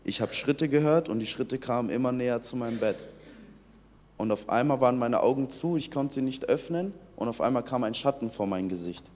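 A man's voice: mid-range voice, lively intonation, brisk, a little mellow, big-room sound, a little hiss or hum. A young man from Iran in his late teens, telling his personal faith testimony earnestly, often restarting his sentences. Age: young adult. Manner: earnest storytelling with many restarts.

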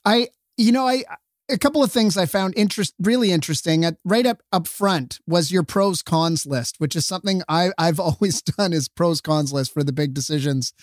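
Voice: medium pitch, normal speed, dry recording, clean studio sound. A podcast co-host chatting in a friendly way, chuckling and searching for words. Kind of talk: casual conversational interview.

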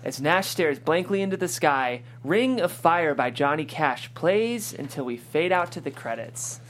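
A woman's voice: low-pitched, animated, measured pace, a little hiss or hum. A woman hosting a script table-read show, reading screenplay narration and interviewing the writer.

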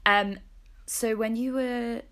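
A woman's voice: fairly high pitch, fairly even intonation, slow, a bit bright. A woman of twenty-two with a British accent, hosting a podcast in an informal chatty way and often correcting herself. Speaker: podcast host. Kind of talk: casual chat.

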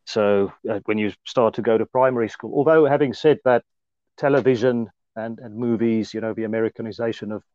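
A man's voice: lowish voice, steady tone, fairly quick, warm tone, no echo, dull audio. Afrikaans-accented South African man, hesitant. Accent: Afrikaans-accented South African English. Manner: hesitant, many fillers and restarts. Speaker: interview guest. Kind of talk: casual conversational interview.

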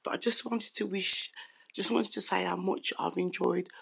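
A woman who is losing her voice from a cold, sending an earnest, halting fan message from the UK. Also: lowish voice, steady tone, fairly bright tone. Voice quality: gravelly, hoarse. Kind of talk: recorded video message. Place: United Kingdom.

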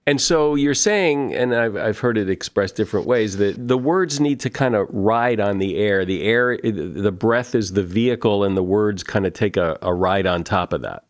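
A middle-aged man hosts an interview podcast, asking thoughtful questions and paraphrasing his guest. His voice sits a little low for a man. The recording is close and dry. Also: normal speed, few pauses, dry recording. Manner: thoughtful, restates ideas in his own words. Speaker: podcast host.

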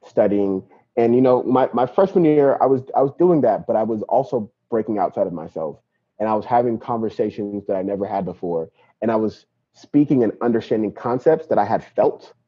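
A man's voice: on the low side, brisk, husky. A young man from Nigeria, a guest talking casually and reflectively about his life, often tossing in "you know" and "right?". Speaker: podcast guest, activist. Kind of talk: casual interview talk. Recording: dull lo-fi podcast audio.

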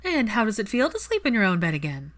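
A woman's voice: medium pitch, wildly swooping pitch, quick pace, a bit bright, pitched above the performer's own voice. A woman voicing a nosy young woman friend in an audiobook, with a pointed, mocking, impatient manner. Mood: teasing.

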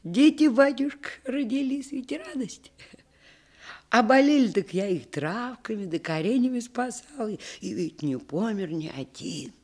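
An old country grandmother in a radio drama, scolding and doting in folksy dialect. The voice is husky and slow, swinging widely in pitch, with music underneath. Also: animated, darkish tone. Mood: fond, proud. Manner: folksy dialect speech with lamenting exclamations. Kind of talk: radio play acting.

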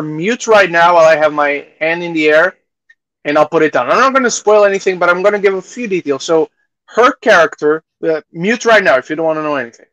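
A man with a slightly high voice talks casually as a podcast host giving reviews. He often repeats and restarts phrases, slipping into quoted reactions as he goes. The sound is close and dry. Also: fairly bright tone, no room sound, poor audio. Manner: halting, repeats phrases, acts out imagined reactions.

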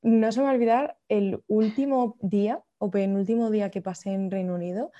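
A woman's voice: medium pitch, fairly even intonation, brisk, mellow, highs cut off. A young woman from Madrid with a Madrid Spanish accent, hosting a casual podcast chat and telling stories with frequent laughter. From Madrid, Spain. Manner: chatty, laughs often, storytelling.

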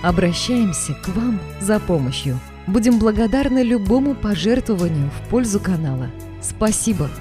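A woman's voice: mid-range voice, expressive, moderate pace, dark tone. A woman reading a scripted announcement for a channel. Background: music.

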